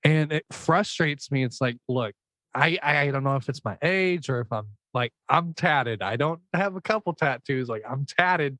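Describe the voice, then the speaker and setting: A young adult podcast host talking in a relaxed, rambling way, full of fillers. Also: medium pitch, normal speed, dry recording. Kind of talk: casual podcast conversation.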